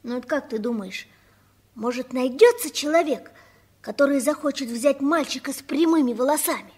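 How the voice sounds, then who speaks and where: High child's voice, earnest runaway orphan boy played by a woman, halting, eager, vintage radio drama. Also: a little mellow, lightly acted. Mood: pleading. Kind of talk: 1960s radio play acting.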